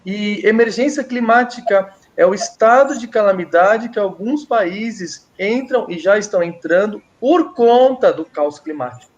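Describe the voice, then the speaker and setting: Brazilian guy w a high voice presenting on a video call, lots of stops and restarts. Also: muffled recording. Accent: Brazilian Portuguese. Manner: hesitant, pauses often to restart. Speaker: guest speaker. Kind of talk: online presentation.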